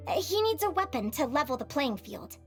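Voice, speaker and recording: A woman voicing a cheerful, encouraging young female adventurer in a video game, with a perky, expressive delivery. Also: high-pitched, brisk, faint music behind. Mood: instructive. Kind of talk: animated video game voice acting.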